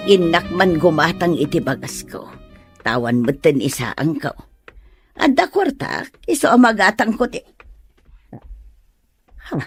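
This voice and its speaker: A woman's voice: fairly low pitch, sing-song, measured pace, pauses often, slightly rough, faint music behind, heavily acted. A woman playing a quarrelsome, boastful, stubborn elderly mother in a comedic audio drama, with scornful, taunting retorts. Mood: combative, taunting. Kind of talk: comedic Ilocano radio-style drama acting.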